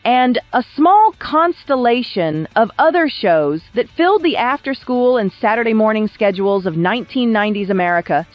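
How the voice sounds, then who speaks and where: A woman's voice: mid-range voice, lo-fi sound. A woman reading scripted documentary narration in a polished, literary storytelling manner. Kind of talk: scripted narration of a biography video. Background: background music.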